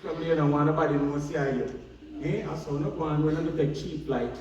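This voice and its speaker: Man acting a high-voiced chatty Jamaican stage gossip. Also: fast, lo-fi sound, natural voice. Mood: teasing. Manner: animated gossiping in Patois. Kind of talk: comic stage pantomime acting. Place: theatre stage. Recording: echoey noisy theatre from far off.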